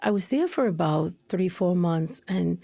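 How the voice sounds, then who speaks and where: A woman from Ecuador tells her career story as a podcast guest, chatty and full of fillers. Her voice is low and gravelly with a mellow tone, and the sound is close and dry. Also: lively intonation, measured pace, dry recording. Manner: chatty storytelling with fillers, acts out her own past reactions. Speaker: podcast guest, compliance analyst.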